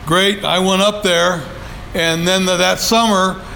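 A man's voice: high voice, steady tone, unhurried, gravelly, sharp tone, a little hiss or hum, low-quality recording. An older man sharing his story at a support group meeting, rambling and folksy with many fillers, speaking into a handheld mic in a meeting room. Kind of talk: personal share at a recovery meeting. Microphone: handheld microphone.

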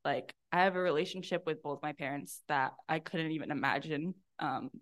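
A woman's voice: sits low, moderate pace. Young woman sharing candidly. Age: young adult. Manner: candid, conversational, frequent "like". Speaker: speaker at a support-group meeting. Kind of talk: informal personal testimony.

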